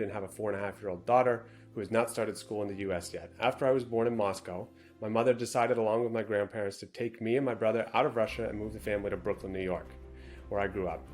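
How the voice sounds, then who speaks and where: Brisk low-voiced male co-host. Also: darkish tone. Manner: brisk, matter-of-fact, with dry asides. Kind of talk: casual podcast chat, reading a viewer letter aloud.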